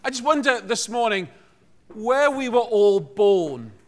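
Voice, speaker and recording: A man's voice: sits high, expressive, a little slow. A man from Liverpool preaches to a congregation in a conversational, joking way, often putting questions to his listeners. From Liverpool, England.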